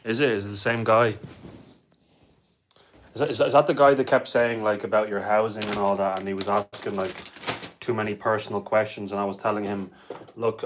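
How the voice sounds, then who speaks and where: Young male streamer, twenties, UK-raised; low, flat, rambling chat to viewers. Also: noisy background. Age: 20s. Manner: chatty, rambling, answers viewers' comments. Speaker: livestreamer. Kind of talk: casual live-stream chat. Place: home indoors. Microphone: phone.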